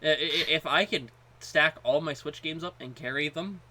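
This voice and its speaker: A man co-hosting a podcast, chatting casually and haltingly, often repeating words as he goes. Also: fairly high pitch, sharp tone. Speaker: podcast co-host. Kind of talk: casual chat about gaming.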